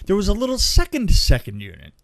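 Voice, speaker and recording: A man telling anecdotes in a casual, halting, animated way on a podcast, as an experienced film crew storyteller. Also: high-pitched.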